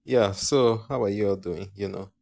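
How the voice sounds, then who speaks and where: Low-voiced rambling man from Africa. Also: fairly even intonation, normal speed, creaky, darkish tone, slight background noise. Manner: rambling, hesitant, often corrects himself. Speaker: channel host. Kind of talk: casual opinion vlog.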